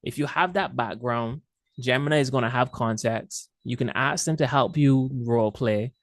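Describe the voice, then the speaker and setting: A man's voice: mid-range voice, moderate pace, dry recording. A man teaching an online class as instructor, speaking casually and loosely with fillers and restarts, heard over a video call.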